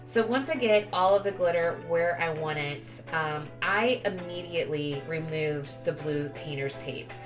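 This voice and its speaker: A woman's voice: on the low side, measured pace, hardly any pauses. A woman giving a casual, chatty craft tutorial voice-over, sounding sniffly with a cold and often correcting herself. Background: background music.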